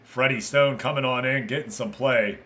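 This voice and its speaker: A man with a bright, forceful voice streams a football management game. He gives live play-by-play and drops into casual asides to viewers. His delivery is excitable and exclamatory, with a mid-range pitch. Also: moderate pace.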